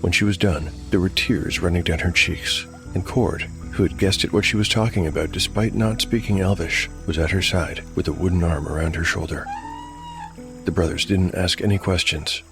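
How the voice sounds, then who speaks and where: Solo game master and narrator: man, very low gravelly voice, dramatic storytelling, music underneath. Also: moderate pace. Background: prominent music.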